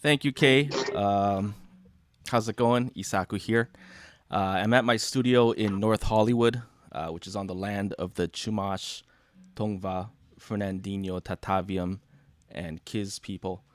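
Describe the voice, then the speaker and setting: A man's voice: fairly low pitch, steady tone, a little slow, dry recording. A man who grew up in Japan, talking casually on a video call from his studio as a panel guest, with many fillers. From born in the US, grew up in Japan. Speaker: taiko player, panel guest. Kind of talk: casual online panel talk.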